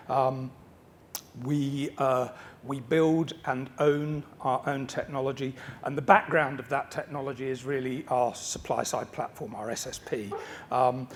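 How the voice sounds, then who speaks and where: A man speaking from a conference stage, his voice a little croaky after a late night. He talks in a chatty, self-deprecating way, stumbling over repeated words and filling pauses with ums. His pitch sits in the middle, with a faint low rumble in the background. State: slightly croaky voice. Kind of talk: conference keynote talk.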